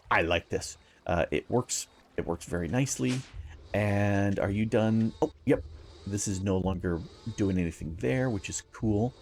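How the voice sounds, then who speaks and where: A man with a raspy early-morning voice, giving casual gameplay commentary as host, upbeat and chuckling often while he rambles through explanations. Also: lowish voice, darkish tone.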